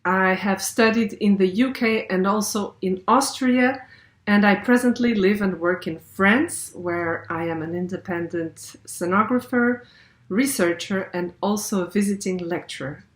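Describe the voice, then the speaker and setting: A woman's voice: medium pitch, measured pace. A woman from Portugal, a scenographer, giving a cheerful, friendly self-introduction as a festival curator. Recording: roomy echo.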